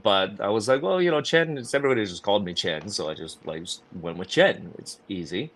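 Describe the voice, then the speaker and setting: A man's voice: lowish voice, animated, a little fast, a bit bright. A man from China, a guest actor chatting casually in an interview, laughing easily and restarting his sentences.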